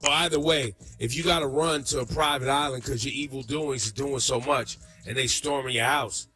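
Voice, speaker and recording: A man's voice: medium pitch, fairly even intonation, normal speed, sharp tone. Slangy man chatting over faint music. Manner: loose, slangy. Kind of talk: casual podcast talk.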